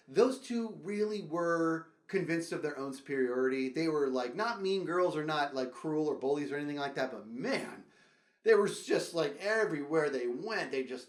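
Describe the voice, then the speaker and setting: A man hosting a comedic storytelling show, talking straight to viewers in a theatrical, exaggerated, emphatic way and repeating phrases. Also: a little high. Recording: roomy echo.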